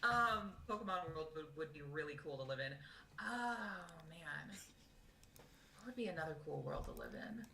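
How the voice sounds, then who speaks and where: A young woman with a deeper voice, a voice actress guest chatting casually and rambling, laughing often, over a video call. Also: expressive, normal speed, sharp tone. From United States. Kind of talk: casual convention panel interview. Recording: echoey, distant, faint background noise.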